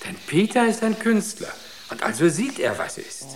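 Lofty, swooping self-declared genius. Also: male voice, high voice, big pitch swings, moderate pace, noisy background. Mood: devout. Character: serenely self-assured male artist, fanatical self-declared genius. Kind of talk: radio drama acting.

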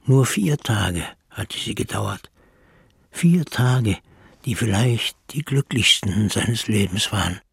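A man with a husky, creaky voice narrating a literary radio play from a script. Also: on the low side, lively intonation, takes it slowly, dry recording, clean studio sound.

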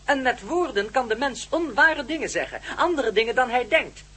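An actor playing a solemn, telepathic non-human man in a radio play, speaking formally and literally in a husky voice. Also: very high voice, big pitch swings, sharp tone, heavily acted. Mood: pondering. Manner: deliberate, formal, literal-minded. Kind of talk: Dutch radio play acting.

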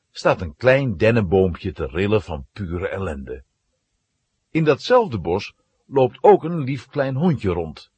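Very husky, animated male storyteller. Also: on the low side, dry recording. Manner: dramatic storyteller. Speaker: narrator. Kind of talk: scripted storytelling narration for children's audio drama.

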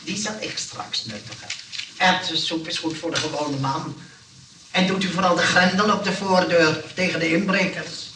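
An actor playing a pompous, snobbish, indignant landlord who repeats himself, in an old radio play. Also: male voice, sits high, moderate pace, bright, forceful tone. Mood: disdainful. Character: pompous, grumbling, snobbish male neighbour and landlord. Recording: distant, faintly noisy old radio recording.

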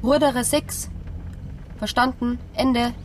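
A woman's voice: on the high side, fairly even intonation, takes it slowly, a little hiss or hum. An actress playing an alert patrol police officer in a radio drama, speaking as a woman on duty.

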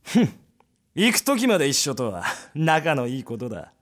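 Cruel taunter with swooping pitch. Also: male voice, sing-song, normal speed. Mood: scornful. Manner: sneering, rough-spoken. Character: cruel, taunting, overbearing man in authority. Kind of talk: Japanese audio drama acting.

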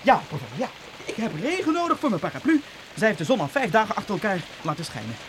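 Boyish young assistant, quick, swooping pitch, eager, stubborn, stammering, children's radio play, clearly noisy recording. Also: male voice, huge pitch swings. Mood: pleading, aggrieved.

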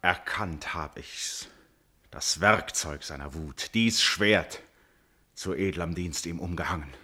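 Proud tragic king, betrayed and wrathful father. Bright, forceful edge, weighty slow declamation for classical radio drama. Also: sits low, husky, heavily acted. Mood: horrified outrage. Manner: declamatory, grave, solemn delivery. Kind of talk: classical verse tragedy radio acting.

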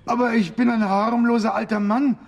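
A man's voice: very high-pitched, flat delivery, moderate pace, gravelly, very noisy. An actor playing a harmless, nervous old man who drinks and works as a Santa Claus in a radio drama. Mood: nervous, protesting. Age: older. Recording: loud music behind the voice.

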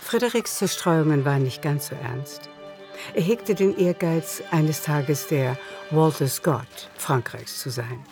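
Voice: medium pitch, measured pace, darkish tone. Radio play narrator over music. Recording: music behind the voice.

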